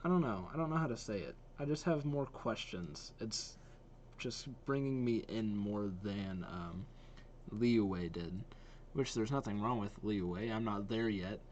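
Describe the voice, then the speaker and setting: Low-voiced man musing out loud. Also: unhurried, a little hiss or hum. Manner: musing, thinks aloud. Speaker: reaction video host. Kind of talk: casual reaction commentary.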